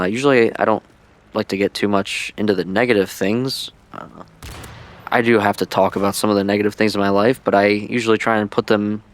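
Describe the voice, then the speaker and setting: A man giving casual, laid-back gaming vlog commentary in a rambling way, on an old-school headset-style mic. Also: on the low side. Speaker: video creator and streamer. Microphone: headset-style microphone, deliberately old-school sound.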